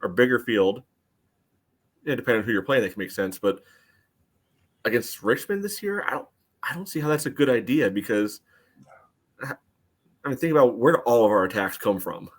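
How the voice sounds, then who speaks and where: A man's voice: mid-range voice, very animated, normal speed, long pauses, slightly rough. A man co-hosting a sports podcast, casually explaining soccer tactics with frequent asides.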